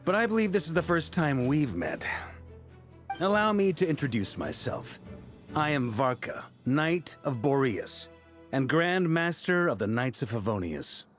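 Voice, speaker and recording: A man's voice: medium pitch, sing-song, measured pace, soft background music. A man voicing a seasoned, middle-aged knight commander in a video game, self-assured and wry, with a commanding way of talking.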